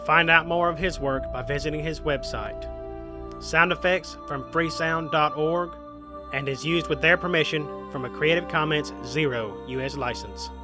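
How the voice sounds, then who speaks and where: A man's voice: a little high, moderate pace, over music. A man reading scripted end credits as the announcer of an audio production.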